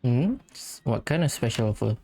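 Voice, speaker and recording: A man giving casual let's-play commentary, musing aloud in a halting way. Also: mid-range voice, expressive, creaky, warm tone, a little hiss or hum. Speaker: gaming streamer.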